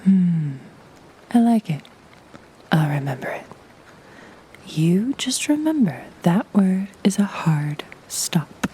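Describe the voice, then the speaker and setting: Woman voicing a slow, sultry, teasing succubus. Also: on the low side, sing-song, dark tone, slight background noise, voice pushed down. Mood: sultry, reassuring. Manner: teasing, breathy half-whisper, unhurried. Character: sultry, playful, businesslike female succubus. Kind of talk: flirty roleplay audio drama.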